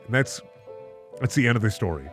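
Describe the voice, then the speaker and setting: An expressive man hosting a reading of bad fan fiction, with sardonic commentary in between. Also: medium pitch, brisk, faint music behind. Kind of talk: dramatic reading with mocking commentary.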